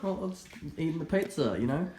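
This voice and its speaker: A man's voice: fairly high pitch, animated, fast, dark tone, slight background noise. Cheeky young man heckling. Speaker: helper and camera operator. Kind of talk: comic banter. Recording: echoey room.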